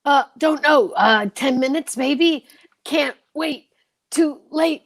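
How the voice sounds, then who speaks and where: A woman's voice: sits high, slow. A woman playing a dying man in a radio drama, stammering out urgent broken phrases between gasps. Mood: weak, urgent. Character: dying man gasping out a last urgent plea. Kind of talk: old-time radio drama acting.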